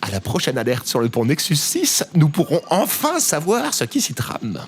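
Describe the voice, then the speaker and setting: A man's voice: on the high side, huge pitch swings, moderate pace. A man playing a long-suffering tech support worker in an audio drama, wordy and sardonic. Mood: elated.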